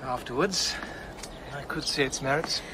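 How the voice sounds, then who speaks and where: A man's voice: medium pitch, unhurried, noisy background, natural voice. An actor playing a guarded, curt man back from war, in a radio drama. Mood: dry, reserved. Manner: curt, suspicious. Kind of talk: British radio drama acting.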